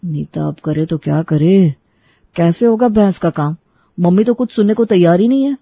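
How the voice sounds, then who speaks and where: A man's voice: high-pitched, moderate pace, gravelly, no echo. A man voicing a reasonable but grumbling young husband and son in a cartoon story, slightly lo-fi. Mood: resigned.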